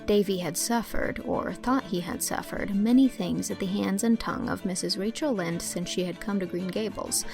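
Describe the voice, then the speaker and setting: Female storybook narrator, mid-pitched with noticeable vocal fry, dramatic scripted reading, faint music underneath. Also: normal speed, hardly any pauses, darkish tone. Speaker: audiobook narrator.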